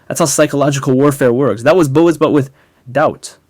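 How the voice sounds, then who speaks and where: A man delivering a polemical monologue as an online commentator, speaking emphatically and rhetorically with deliberate pauses on key words. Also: medium pitch, darkish tone, dry recording, clean studio sound. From North Africa. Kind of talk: polemical monologue to camera, partly scripted.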